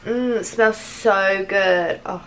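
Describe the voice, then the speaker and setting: A woman in her twenties chatting casually and expressively to a vlog, croaky from a cold and sore throat. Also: medium pitch, takes it slowly, low-quality recording. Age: 20s. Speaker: vlogger. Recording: clear background noise.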